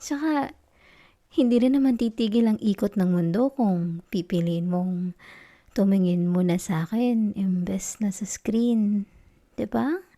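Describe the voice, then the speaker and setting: A woman playing a needy, affectionate wife in an intimate ASMR roleplay, with a Filipino accent and a soft, coaxing, pouty delivery. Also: mid-range voice, a little slow, long pauses, natural voice. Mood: teasing, coaxing. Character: needy, affectionate wife, sulky yet tender and teasing.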